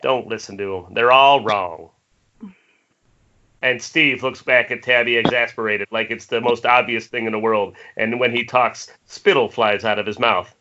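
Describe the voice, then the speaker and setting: A man's voice: medium pitch, fairly even intonation, moderate pace, sharp tone. A man reading stage directions as scripted narration in an amateur screenplay table read. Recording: dull, noisy internet call with clicks.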